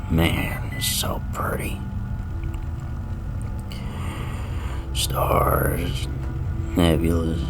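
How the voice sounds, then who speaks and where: Weary dying space garbage man, lowish gravelly voice, folksy, reflective, wry, lonely, sci-fi audio drama monologue. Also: exaggerated voice. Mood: quiet wonder.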